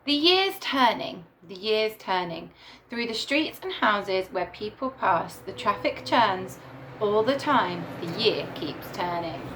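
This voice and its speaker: Female primary teacher, mid-pitched, slow and expressive children's read-aloud, faint noise behind. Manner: deliberate, animated storytelling with emphasised words. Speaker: primary school teacher reading to her class.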